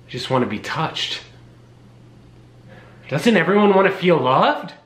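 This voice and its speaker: A young man playing a creepy, needy, unhinged man who craves touch, speaking slowly and haltingly with intensity. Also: a little high, big pitch swings, fairly bright tone. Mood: pleading. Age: young adult. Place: small home kitchen. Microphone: camera a few feet away. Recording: roomy, distant camera sound, faint hum.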